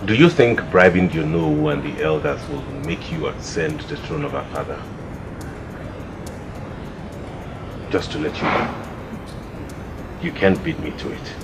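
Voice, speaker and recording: A proud, scornful prince. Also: male voice, rumbling low, sing-song, moderate pace, creaky, reverberant, heavy background noise, full character voice. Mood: taunting. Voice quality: pompous, scornful. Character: proud jealous prince, quick to insult. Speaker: royal prince in a succession feud. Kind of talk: film drama dialogue.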